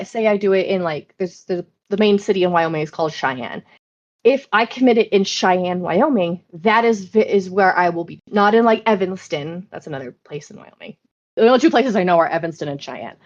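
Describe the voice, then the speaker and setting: Woman, American accent, mid-pitched voice, animated informal podcast explainer, slightly muffled lo-fi recording. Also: brisk. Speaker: podcast host. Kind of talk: casual explanatory podcast chat.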